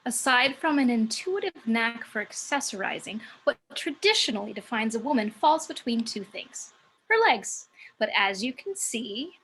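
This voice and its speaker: Woman acting brash female muse, sardonic, sharp bright tone, cocky, film script read at home, faint noise. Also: a little high, lively intonation, normal speed, rough recording. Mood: brash, sassy. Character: sassy, cocky female muse working as a stripper.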